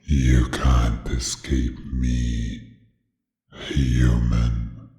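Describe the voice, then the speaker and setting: An extremely deep, echoing monster voice for an audio story. The creature is a huge reptilian cult leader, arrogant and menacing. It speaks very slowly and darkly, taunting its prey with imperious weight. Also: male voice, level tone, warm tone, soft background music, full character voice. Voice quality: strong voice with a menacing laugh.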